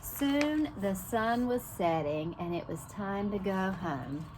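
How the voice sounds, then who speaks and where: A woman with a mid-pitched voice reads a picture book aloud for preschoolers. She is animated and speaks directly to the children, explaining and asking as she goes. There is noticeable ambient noise behind her. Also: slow pace. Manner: animated, explains and questions young listeners directly. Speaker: preschool teacher reading aloud.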